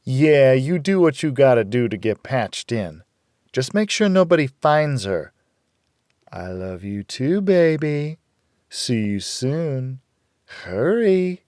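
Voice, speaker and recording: Man voicing a brash biker woman, pitched up. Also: very animated, slow pace, a little mellow, clear, resonant tone, dry recording, studio quality. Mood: conspiratorial. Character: brash scheming biker woman, foul-mouthed, falsely sweet. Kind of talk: audiobook character voice.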